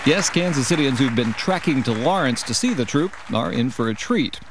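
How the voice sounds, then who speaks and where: A man's voice: mid-range voice, sing-song, moderate pace, over a noisy crowd, very noisy. A man reading scripted narration as a television reporter. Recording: dry voice-over, faint audience behind.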